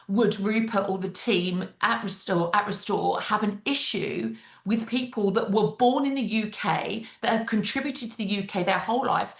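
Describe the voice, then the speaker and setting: A middle-aged woman speaking off the cuff in a political discussion, emphatic and repeating phrases for stress. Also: mid-range voice. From London, England. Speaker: party spokeswoman, panel guest. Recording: echoey room.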